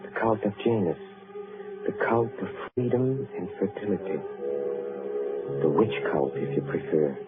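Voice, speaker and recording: An actor playing a proud, sinister old European count in old-time radio drama, speaking with deliberate, courtly menace. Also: male voice, low voice, lively intonation. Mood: ominous, proud. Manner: deliberate, ominous storytelling. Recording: muffled vintage radio recording with music.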